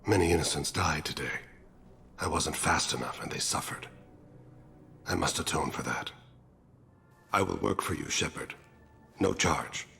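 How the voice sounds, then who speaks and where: An actor playing a courteous, philosophical alien assassin who is dying, with a gravelly voice and a formal, measured, solemn manner in a video game. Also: male voice, very deep voice, bright, forceful tone, a little hiss or hum, full character voice. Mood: grave, resolute. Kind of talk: video game cutscene acting.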